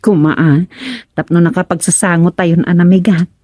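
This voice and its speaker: A woman's voice: lowish voice, steady tone, normal speed, mellow, no room sound, close to an everyday voice. A woman acting a teasing, hospitable village captain's wife in an Ilocano radio comedy drama, chatty and homely. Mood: cheerful, hospitable.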